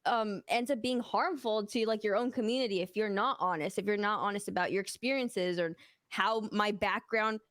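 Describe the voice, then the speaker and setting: A woman's voice: mid-range voice, moderate pace, a bit bright, dry recording, studio quality. A woman from Mexico, a streamer guest in a casual interview, talking openly and rambling with many fillers.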